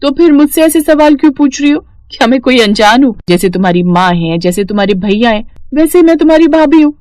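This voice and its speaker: A woman's voice: sits high, animated, a little fast, darkish tone, no echo, voice pushed up. A woman voicing a kind, principled young sister-in-law in a cartoon story, earnest and reassuring. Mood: warm, reassuring. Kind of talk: animated storybook cartoon dialogue.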